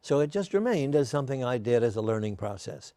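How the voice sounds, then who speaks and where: Older new guy, hoarse today, wry storyteller, mid-pitched, dry close sound. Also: big pitch swings, fast, dark tone, dry recording. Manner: genial storyteller, wry and self-deprecating. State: hoarse, losing his voice. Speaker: guest. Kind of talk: casual interview chat.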